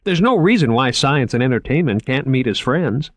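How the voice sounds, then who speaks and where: A man voicing an eager, shy young male museum curator who talks fast and stammers when he gets flustered. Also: medium pitch, lively intonation, no room sound, voice pushed up, natural voice.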